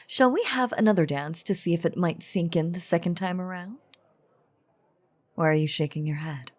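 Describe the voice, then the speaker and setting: A woman's voice: lowish voice, normal speed, lightly acted. A woman playing an elegant ancient vampire in a scripted audio drama, teasing and coaxing with theatrical, old-fashioned formality. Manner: theatrical, archaic, velvety formal phrasing.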